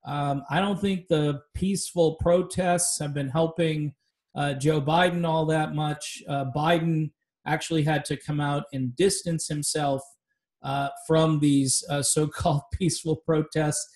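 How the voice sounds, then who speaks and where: A man's voice: a little high, fairly even intonation, a little slow, a little mellow. A man hosting a casual political podcast chat, talking loosely and wandering off on tangents. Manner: loose, rambling, tangent-prone. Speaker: podcast host.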